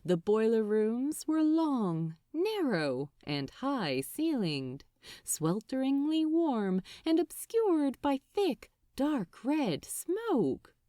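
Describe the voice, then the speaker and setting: A woman narrates fiction in a theatrical storybook style. Her pitch swings dramatically and she phrases slowly and deliberately. The recording is very dry, with hardly any room sound. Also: dark tone, no echo.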